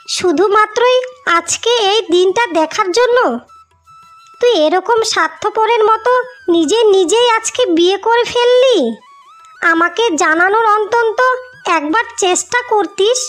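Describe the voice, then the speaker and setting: Lady doing really high voice heartbroken big sister, plaintive cartoon melodrama, music behind. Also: a bit bright. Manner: plaintive, drawn-out lamenting. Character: wronged, self-sacrificing elder sister, heartbroken. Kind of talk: melodramatic cartoon fairy-tale acting.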